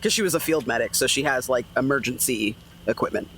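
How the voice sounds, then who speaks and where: A woman's voice: rumbling low, quick pace, a bit bright, low-quality recording. A woman playing a tabletop role-playing game, talking casually about her character and rolls. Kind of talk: casual chat. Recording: faint background noise.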